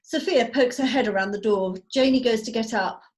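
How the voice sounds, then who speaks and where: A woman's voice: on the high side, fairly quick, a little mellow. A woman giving scripted narration, reading out stage directions on a video call.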